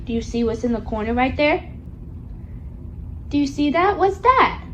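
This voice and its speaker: A woman's voice: sits high, lively intonation, normal speed, lo-fi sound, a little hiss or hum. A woman reading a picture book aloud to a young child, coaxing along with questions in a sing-song, child-directed way. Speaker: storybook reader for a young child.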